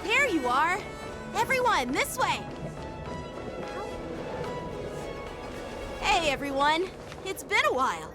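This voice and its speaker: A woman's voice: extremely high pitch, normal speed. A woman voicing an eager, chatty teenage boy swordsman in a game. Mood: excited. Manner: bright and chatty, animated. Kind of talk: game voice acting. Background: game soundtrack music.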